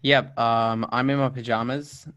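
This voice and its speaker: A man's voice: medium pitch, little pitch movement, unhurried, a bit bright, clean tone, muffled recording. A man from the UK, a guest speaker chatting casually and easily over a video call. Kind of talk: casual chat.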